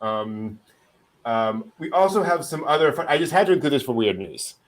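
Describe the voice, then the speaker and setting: A man's voice: medium pitch, big pitch swings, normal speed, poor audio. A man hosting a casual anime news show, chatting and joking with a wry, amused delivery.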